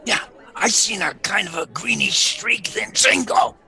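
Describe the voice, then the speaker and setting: A man's voice: sits high, unhurried, creaky, pushed and bright, heavily acted. A man playing a rattled, folksy old farmer with a rural drawl in a radio drama.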